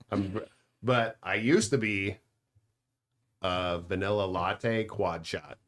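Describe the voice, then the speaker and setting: Low-voiced man in casual banter. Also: slow pace, a bit bright, slight background noise. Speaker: guest. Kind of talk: casual podcast chat.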